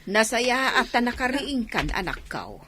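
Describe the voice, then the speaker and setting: A woman's voice: a little high, big pitch swings, unhurried. A woman playing a middle-aged village mother with an Ilocano accent, nagging and worried, admonishing in radio drama style. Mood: concerned. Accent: Filipino, Ilocano.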